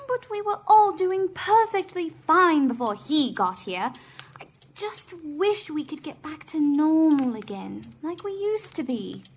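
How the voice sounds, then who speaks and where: A woman's voice: sits high, sing-song, a little slow, exaggerated voice. An actress playing an indignant, self-righteous young girl in a family audio drama, speaking emphatically. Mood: complaining. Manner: emphatic, complaining.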